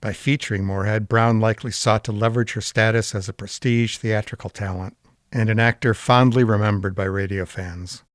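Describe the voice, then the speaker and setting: A man's voice: on the low side, steady tone, a little fast, dry recording, clean studio sound. A man reading a scripted, informative introduction as a series presenter.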